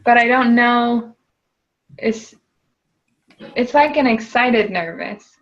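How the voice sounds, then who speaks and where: A woman's voice: fairly high pitch, takes it slowly, a bit bright, lo-fi sound. A woman client repeating tapping phrases after her coach and hesitantly describing her nervousness. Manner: hesitant, searches for words.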